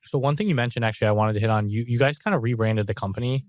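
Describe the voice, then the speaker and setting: A man's voice: mid-range voice, rapid-fire, no room sound. A man hosting a podcast, chatting casually with his guest and rambling with lots of "like.". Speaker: podcast host.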